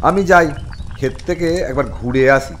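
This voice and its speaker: A man's voice: mid-range voice, a little fast, a little hiss or hum, lightly acted. A man playing a bold, blustering village farmer and husband in a Bengali fairy-tale cartoon. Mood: pleased.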